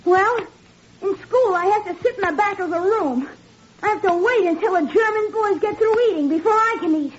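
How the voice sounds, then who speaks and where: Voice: extremely high pitch, normal speed. A young performer playing a sensitive, hesitant half-French schoolboy in 1940s radio drama style. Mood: upset, plaintive. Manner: plaintive, hesitant. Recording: muffled, noisy 1940s radio recording.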